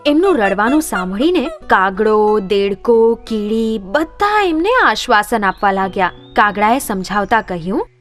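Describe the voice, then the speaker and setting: A woman narrating scripted children's moral stories in an expressive storytelling manner. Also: mid-range voice, measured pace, hardly any pauses, faint music behind.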